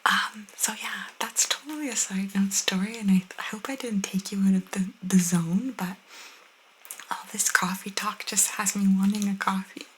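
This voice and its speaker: Young female ASMR host, soft intimate whisper with casual chatty delivery, mid-pitched, some noise in the recording. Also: fairly even intonation, moderate pace, poor audio. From Italian cultural background.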